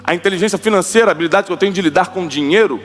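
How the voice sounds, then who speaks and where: A man's voice: high-pitched, racing, long reverb tail, faint music behind. A man, preaching an emphatic sermon and having the audience repeat lines. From Rio de Janeiro, Brazil.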